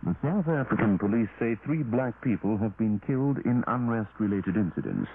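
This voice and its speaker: A man's voice: lowish voice, sing-song, normal speed. Tinny shortwave recording of a male newsreader. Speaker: radio newsreader. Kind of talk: formal scripted news bulletin reading. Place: radio broadcast studio. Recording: tinny, noisy 1980s shortwave radio recording.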